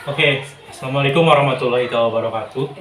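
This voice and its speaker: A young man with a Sundanese accent, answering interview questions in a hesitant, soft-spoken way. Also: mid-range voice, quick pace. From Garut, West Java. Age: young adult. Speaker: podcast guest. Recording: echoey room, distant mic, faint noise.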